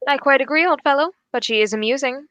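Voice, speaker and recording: A high-pitched, shrewd gentleman detective of old radio drama, played by a woman. The English sleuth is unflappable, formal and quietly amused, choosing words with measured courtesy. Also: no room sound, muffled recording. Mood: amused, firm. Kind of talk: 1940s radio drama acting.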